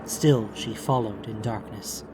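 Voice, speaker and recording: A man giving measured, theatrical narration of a fantasy audiobook. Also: fairly low pitch, noisy background.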